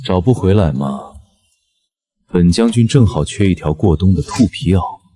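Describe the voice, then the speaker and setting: A man playing a brash, teasing young general in an animated period drama, mocking and theatrical in delivery. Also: low-pitched, moderate pace. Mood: stern, threatening. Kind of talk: Chinese animated web drama voice acting.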